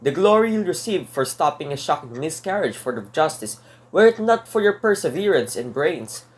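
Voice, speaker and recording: A man's voice: a little high, sing-song, normal speed. A man playing a desperate jailed burglar in a radio drama, earnest and theatrical, with formal old-fashioned phrasing. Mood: insistent, bitter. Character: desperate jailed male burglar pleading his innocence. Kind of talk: dramatic radio play monologue and narration.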